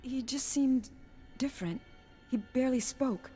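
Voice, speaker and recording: An actress playing a frightened young research worker and anxious survivor in a video game, hesitant and emotional. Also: female voice, high-pitched, a little slow, mellow, big-room sound, faint music behind. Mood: shaken. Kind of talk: video game cutscene acting.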